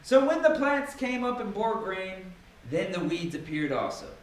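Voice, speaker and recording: A man giving a dramatic, emphatic reading of scripture with theatrical pauses. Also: high voice, sing-song. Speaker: Bible reader. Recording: very echoey room with faint noise.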